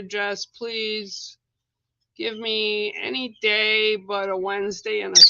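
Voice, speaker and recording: A live-stream host with a rough, hoarse voice, chatting casually with viewers and greeting them by name between coughs. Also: mid-range voice, highs cut off, heavy background noise.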